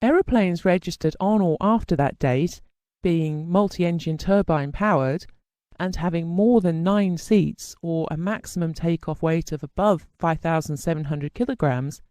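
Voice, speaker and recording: A formal instructional narrator delivers a scripted technical lesson in a measured, explanatory manner. The voice is soft-edged and mellow, with animated rises and falls in pitch. The sound is close and dry. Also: no echo.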